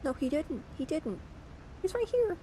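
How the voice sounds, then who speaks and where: A woman's voice: sits high, sing-song, normal speed, warm tone, poor audio. A woman hosting a casual gaming reaction, commenting in short exclamations and questioning asides. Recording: clear background noise from game audio.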